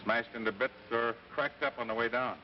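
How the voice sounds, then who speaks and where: A man's voice: mid-range voice, steady tone, a little fast, recorded from a distance, a little hiss or hum, natural voice. An actor playing an earnest, puzzled man of science in a 1950s radio drama. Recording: muffled old radio broadcast with music.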